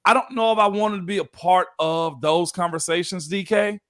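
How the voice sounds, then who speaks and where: A laid-back man in his thirties talks sports as a co-host, easygoing and chatty, drawing out his phrases. His voice sits high for a man. The sound is dry and close. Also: moderate pace, a bit bright, no echo. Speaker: co-host, former football player. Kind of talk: casual sports talk.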